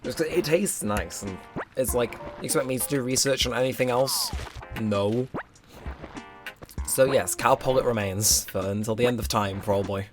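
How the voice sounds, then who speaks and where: Rambling young man, slightly hoarse. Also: mid-range voice, moderate pace. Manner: rambling, filler-heavy, self-mocking. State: strained, slightly hoarse throat. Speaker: let's play host. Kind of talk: casual gameplay commentary. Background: game music.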